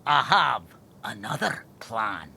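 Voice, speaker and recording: An actor playing a bossy, scornful, scheming farmer with a raspy voice in a children's audio drama. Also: male voice, medium pitch, big pitch swings, slow, sharp tone, exaggerated voice. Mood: bossy, irritated. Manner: scornful, impatient. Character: scheming, bossy male farmer hunting a fox.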